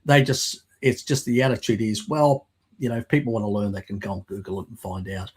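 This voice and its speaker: Casting: man, low and husky, rambling explainer with lots of restarts, slight cold croak. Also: steady tone, a little mellow. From Australia. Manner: rambling, halting with fillers and restarts. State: lingering croak from a recent cold. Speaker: guest, works in mapping. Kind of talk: casual live-stream chat. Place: home, video call. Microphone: video call.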